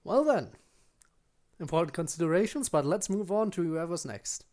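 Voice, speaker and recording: A man's voice: fairly high pitch, lively intonation, normal speed, a little mellow. A man streaming a game, giving casual, wry commentary and musing aloud as he plays. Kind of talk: casual live gameplay commentary.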